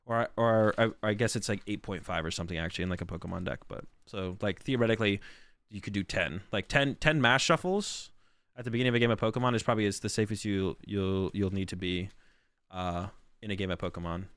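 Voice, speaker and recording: A man's voice: fairly low pitch, fast talker, fairly bright tone, dry recording. A man who is sick and losing his voice, rambling through casual stream commentary with lots of fillers. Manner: rambling, filler-heavy, thinks aloud.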